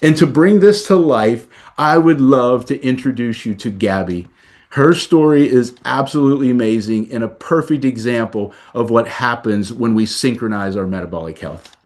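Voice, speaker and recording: A man, a doctor, delivering a scripted promotional presentation in a measured, emphatic reading style. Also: mid-range voice, expressive, darkish tone. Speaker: doctor presenting a health program.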